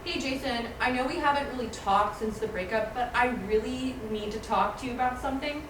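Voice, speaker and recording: A woman's voice: a little high, a little fast, close to an everyday voice. Casting: actress as jumpy, suspicious young female student, screenplay read, recorded far off in a roomy space. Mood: distracted. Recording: distant, echoey room with background noise.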